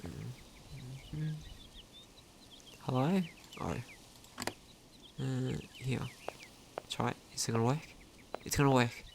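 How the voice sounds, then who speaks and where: Slow, creaky man playing a video game. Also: mid-range voice, fairly even intonation, background noise. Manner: thinks aloud, repeats phrases, asks himself questions. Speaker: gaming commentator. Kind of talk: casual let's play commentary. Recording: game music behind the voice. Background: video game music.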